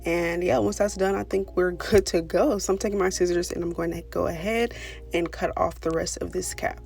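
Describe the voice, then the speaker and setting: Young woman, low and gravelly raspy voice, chatty and casual, walks viewers through a beauty tutorial. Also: steady tone, moderate pace. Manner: chatty, fillers like "you know" and "pretty much". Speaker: beauty vlogger. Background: soft background music.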